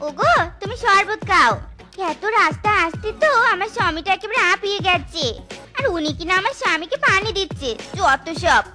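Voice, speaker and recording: Squeaky, sassy young vixen. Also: female voice, lively intonation, moderate pace, sharp tone, soft background music, full character voice. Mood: indignant. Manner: indignant, nagging. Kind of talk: exaggerated cartoon voice acting.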